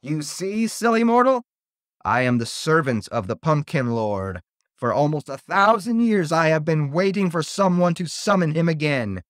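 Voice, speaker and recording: A man's voice: on the high side, big pitch swings, moderate pace, fairly bright tone, no echo, clean studio sound, pitched above the performer's own voice. A man voicing an ancient, evil female monster in a horror story, taunting and gloating over a victim. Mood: taunting, exultant. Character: ancient evil female monster, menacing servant of dark lord.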